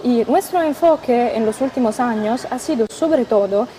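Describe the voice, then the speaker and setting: Young Italian-accented woman, mellow voice. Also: a little high, a little hiss or hum, rough recording. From Italy. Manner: careful, halting, many filler sounds. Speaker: physician and interview guest. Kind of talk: radio interview.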